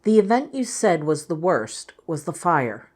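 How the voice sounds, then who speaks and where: A woman playing a clinician, asking scripted interview questions in a measured, neutral way. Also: low voice, big pitch swings, darkish tone, breathy edge, exaggerated voice. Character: measured female clinician giving a structured interview.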